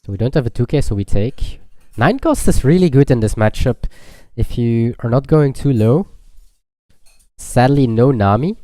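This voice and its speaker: A man casually commentating a card game tournament stream, explaining plays in relaxed gamer slang. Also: medium pitch, moderate pace, no room sound.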